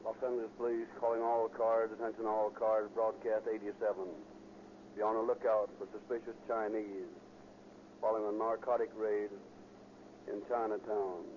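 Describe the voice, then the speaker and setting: A man playing a brisk, impassive police dispatcher in a 1930s radio drama, reading bulletins in a clipped, official way. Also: on the low side, flat delivery, close to an everyday voice. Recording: muffled, noisy 1930s radio recording.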